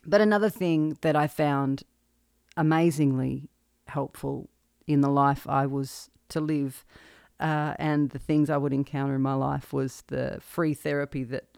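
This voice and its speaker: A woman's voice: deep voice, fairly even intonation, a little slow, creaky, clear, resonant tone, no room sound, clean studio sound. A woman in her thirties with an Australian accent, hosting her own podcast and talking candidly and reflectively about her life. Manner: candid, reflective, with soft laughs. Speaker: podcast host and singer-songwriter. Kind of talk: personal monologue.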